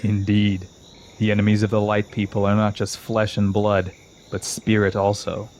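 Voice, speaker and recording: A man playing a scheming exiled old king in a fantasy audio drama, speaking in a slow, grandiose, coaxing manner. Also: on the low side, monotone, a little mellow, slight background noise, natural voice. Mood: seductive, coaxing.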